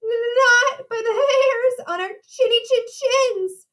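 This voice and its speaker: A woman voicing an excitable, carefree young male pig in a storybook, squealing and exclaiming. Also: sits high, unhurried. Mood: panicked.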